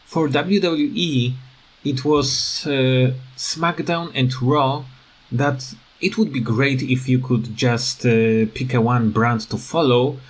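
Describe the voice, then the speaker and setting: A man with a command of talks through his opinions in an informal, unscripted rant. His delivery is slow and halting, with repeated words as he searches for the next phrase. His pitch sits in an ordinary middle range for a man. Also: fairly even intonation. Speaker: video creator giving commentary.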